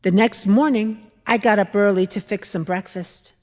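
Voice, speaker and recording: A woman performing a dramatic monologue as a skeptical older mother-in-law from biblical times, telling her memories in a deliberate, expressive, reflective way. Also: mid-range voice, lightly acted. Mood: warm remembering. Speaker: dramatic reader at a church service. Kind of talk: dramatic monologue, measured storytelling. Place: large church interior. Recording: echoey church hall, long reverb.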